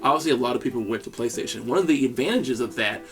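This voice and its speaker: Gravelly-voiced enthusiastic male podcaster. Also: medium pitch, very fast, a bit bright, soft background music. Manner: enthusiastic, laughs often, jokey asides. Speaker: podcast co-host. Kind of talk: casual chat about video games.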